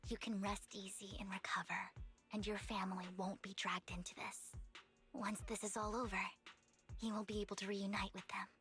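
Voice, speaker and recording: A woman voicing a gentle, devoted young woman with a sorrowful past in a video game, speaking in a soft, breathy, delicate way. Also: medium pitch, level tone, normal speed, close to an everyday voice. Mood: calm, wistful. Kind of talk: anime-style video game voice acting. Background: background music.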